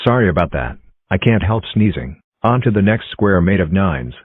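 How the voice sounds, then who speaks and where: A man's voice: low-pitched, sing-song, normal speed, husky, no echo, full character voice. A man voicing cheerful talking number block characters in a fan-made cartoon, introducing himself in a chirpy, plain way. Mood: chatty. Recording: tinny phone-like dry recording.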